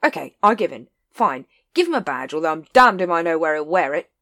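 A weary watch captain who is fed up and snapping, as a woman reading a story puts him on. He talks loudly and sharply. The sound is dry and close. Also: sits low, very animated, brisk, no echo. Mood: exasperated. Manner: exasperated, snapping and loud.

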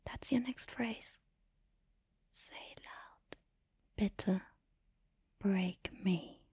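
A woman speaking in an intimate, commanding and teasing erotic ASMR style, in a breathy whisper close to the mic. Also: medium pitch, extremely slow, warm tone. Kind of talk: erotic ASMR, guided audio roleplay.

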